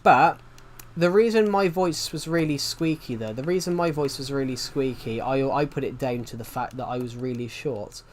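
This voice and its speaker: A young man in his twenties talks through a livestream in a loose, rambling way. His voice sits at an ordinary middle pitch, and he circles back to restate each phrase before pushing on. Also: moderate pace, few pauses. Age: 20s. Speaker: streamer. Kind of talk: rambling casual livestream chat.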